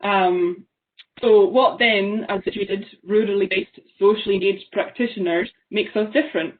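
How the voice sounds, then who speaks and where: A woman's voice: medium pitch, steady tone, moderate pace. A young woman from the Isle of Lewis with a Scottish accent, giving an online seminar talk, thoughtful and full of ums. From Isle of Lewis, Scotland. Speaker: seminar speaker. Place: small room at home. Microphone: laptop webcam on a video call. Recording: tinny phone-like video call.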